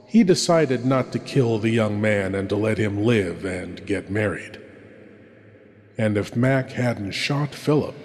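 Slow horror host in cavernous reverb. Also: male voice, medium pitch, darkish tone. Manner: deliberate, ominous, dramatic pauses. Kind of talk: theatrical horror-host narration. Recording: long cavernous reverb, faint music.

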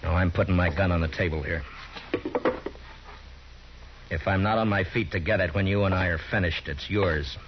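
A stern deep-voiced lawman. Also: steady tone, background noise. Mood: commanding. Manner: firm, commanding, measured. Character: stern frontier lawman, middle-aged man, calm and hard. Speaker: narrator and lead. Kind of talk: 1950s radio drama acting. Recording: dull old radio broadcast.

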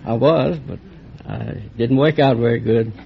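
Gravelly folksy American man, late fifties. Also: medium pitch, unhurried. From southern United States. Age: late 50s. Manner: folksy, good-humored. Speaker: contestant. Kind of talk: casual chat. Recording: muffled old radio recording, noisy audience. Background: loud studio audience.

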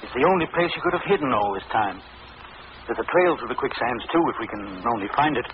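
On a tinny, noisy old radio recording, a man plays a middle-aged country doctor. He is grave and earnest, with an edge of nervous foreboding in his medium-pitched voice. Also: expressive, fast, natural voice. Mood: determined. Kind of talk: 1940s radio acting.

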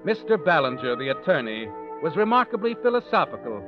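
An actor playing an ominous, all-knowing narrator in a 1940s radio mystery, telling the tale in a dramatic, deliberate way. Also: male voice, on the high side, big pitch swings. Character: ominous omniscient male narrator of a murder mystery. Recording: muffled vintage radio recording with music. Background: dramatic music.

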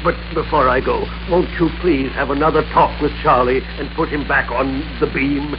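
An actor playing a flustered, blustering office boss in an old-time radio drama, sputtering and fussy in an emphatic way. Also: male voice, medium pitch, fairly even intonation, normal speed, close to an everyday voice. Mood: impressed. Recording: noisy, dull old radio recording.

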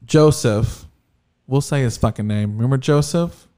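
A man's voice: medium pitch, moderate pace, dark tone. A man on a podcast, giving blunt, profane advice in casual chat. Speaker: podcast co-host.

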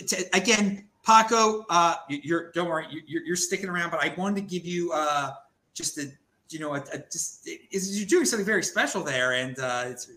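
A man hosting a casual panel chat, halting and full of restarts, calling guests "dude". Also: sits high, sharp tone, reverberant. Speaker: podcast host.

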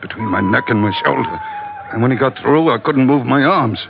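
An actor playing a rough, loyal, grumbling first mate with a slangy, sardonic manner in an old-time radio drama. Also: male voice, medium pitch, animated, a little fast. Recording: noisy, muffled old radio recording.

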